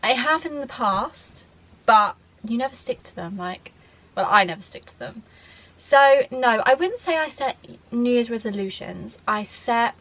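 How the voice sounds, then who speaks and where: A woman's voice: medium pitch, fairly bright tone, low-quality recording. A woman in her twenties from the UK, chatting casually to camera in a Q&A vlog, rambling with lots of fillers and restarts. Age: 20s. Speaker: vlogger.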